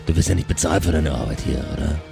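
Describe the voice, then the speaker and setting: A man acts out an easygoing devil in an improvised roleplay audio play. His voice is deep and gravelly, and he sounds nonchalant and sociable over background music. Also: fast, heavily acted. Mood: easygoing, persuasive. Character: laid-back, sociable male devil from the underworld.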